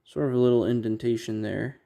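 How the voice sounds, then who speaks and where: Mellow-voiced man, casual and halting. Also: medium pitch, fairly even intonation, vocal fry. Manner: halting, thinking aloud. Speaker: record collector, video host. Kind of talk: casual unboxing commentary.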